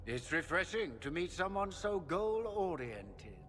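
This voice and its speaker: An actor playing a proud, menacing middle-aged magister in a video game, speaking formally with condescending, theatrical smoothness. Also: male voice, high-pitched, sing-song, measured pace, background noise. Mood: silky politeness. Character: proud menacing Tevinter magister and father, smooth-spoken villain. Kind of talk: dramatic video game acting. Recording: long hall reverb, faint music behind.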